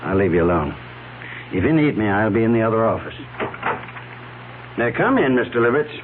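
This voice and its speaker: An actor playing a formal, cautious attorney who speaks in a measured, deliberate way, in a 1970s radio drama. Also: male voice, on the low side, sing-song. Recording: tinny lo-fi old radio broadcast with faint hiss.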